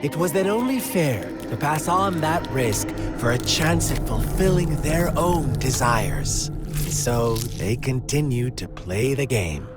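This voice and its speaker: A man playing a sly, unhinged game villain who tells a dark tale in a theatrical, taunting, drawn-out way. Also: mid-range voice, animated, loud music behind the voice. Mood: dramatic, sinister. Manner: theatrical, taunting, drawn-out delivery with sudden swoops. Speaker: villain character in a video game. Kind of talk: dramatic storytelling monologue in a game.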